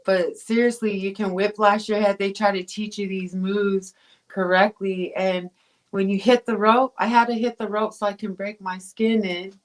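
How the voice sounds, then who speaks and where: A woman's voice: medium pitch, level tone, moderate pace. A woman from Tonga, a guest pro boxer, talking casually in an interview, with rambling phrasing full of fillers and restarts.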